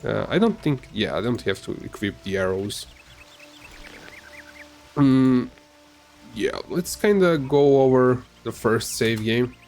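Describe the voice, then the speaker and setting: A man whose first language is Serbian, giving casual, halting commentary while playing a game, with many fillers and restarts. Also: medium pitch, expressive, darkish tone, faint music behind. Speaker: gamer.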